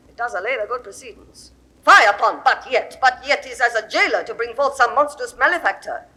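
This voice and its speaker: A woman's voice: fairly high pitch, fairly quick, bright, forceful tone. An actress playing an imperious, lovesick Egyptian queen in a classical Shakespeare audio drama, with grand, theatrical delivery. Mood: wary, pleading.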